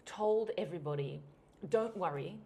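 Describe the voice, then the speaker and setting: A woman from Australia with an Australian accent, hosting an interview and telling anecdotes in a chatty way with quick restarts. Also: on the low side, animated, dark tone. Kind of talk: conversational interview.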